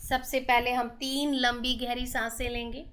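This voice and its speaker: A woman with an Indian accent hosting a guided meditation, giving gentle, soothing instructions in drawn-out phrases. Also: fairly high pitch, little pitch movement. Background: meditation music with singing bowl tones.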